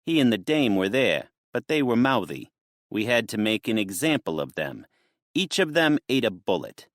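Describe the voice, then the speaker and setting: A man voicing a menacing male mobster boss with an old-movie gangster manner, slow and mocking. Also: on the low side, very animated, bright, forceful tone, no echo, clean studio sound, exaggerated voice. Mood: taunting.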